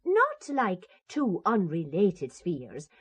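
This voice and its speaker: A woman's voice: on the low side, huge pitch swings, takes it slowly, husky, heavily acted. An actress playing a devout, feisty Irish woman in an audio drama, with an Irish accent and a spirited, teasing, argumentative manner. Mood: earnest.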